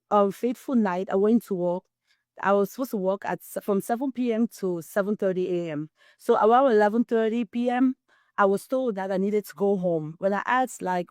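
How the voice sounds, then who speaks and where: A middle-aged woman from Cameroon tells her own story as an interview guest, earnest and full of conviction. Her voice sits at an ordinary pitch for a woman. The sound is dry, with no room echo. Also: a little mellow. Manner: earnest, testimonial, quotes herself often. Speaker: guest, wellness distributor.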